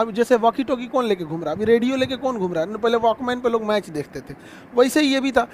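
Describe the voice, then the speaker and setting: A man's voice: very high-pitched, slight background noise. A man with an Indian accent giving an informal explanatory lecture as a teacher, conversational, repeating key phrases and posing rhetorical questions. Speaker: teacher explaining current affairs.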